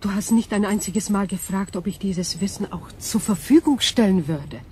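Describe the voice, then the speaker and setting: An actress playing a calm, ancient, knowing alien being in a German sci-fi radio play, hushed and measured, detached and formal. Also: female voice, mid-range voice, slight background noise, close to an everyday voice. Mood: cool.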